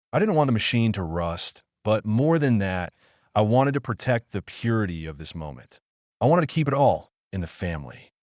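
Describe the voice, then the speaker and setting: A man's voice: lowish voice, dry recording, studio quality. A man playing a deranged, pious, controlling middle-aged father in a scripted audio drama monologue, sanctimonious and coldly self-justifying in short emphatic phrases.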